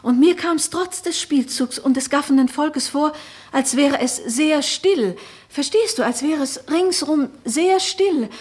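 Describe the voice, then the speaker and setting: Troubled noblewoman, measured and earnest, slightly high voice; radio play. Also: darkish tone. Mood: reflective. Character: noblewoman haunted by a strange idea. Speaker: Florentine, married noblewoman. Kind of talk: radio drama acting.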